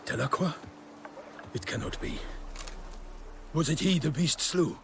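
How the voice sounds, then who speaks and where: An actor playing a courtly, eloquent knight in a video game, speaking in flowery archaic phrases with theatrical feeling. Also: male voice, on the high side, measured pace, gravelly, slight background noise. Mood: wistful, guarded. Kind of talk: video game cutscene acting.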